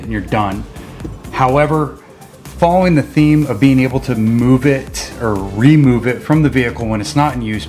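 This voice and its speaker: A man's voice: medium pitch, a little mellow. A man giving a casual, informal how-to walkthrough of a gear install, explaining as he goes. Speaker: co-host of a vehicle gear channel.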